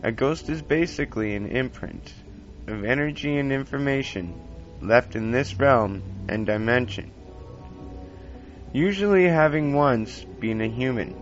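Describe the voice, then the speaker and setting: Slow-spoken man narrating, dull lo-fi recording. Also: mid-range voice, animated. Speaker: podcast host. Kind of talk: scripted narration in old time radio show style. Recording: dull lo-fi basic equipment, music underneath. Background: music bed.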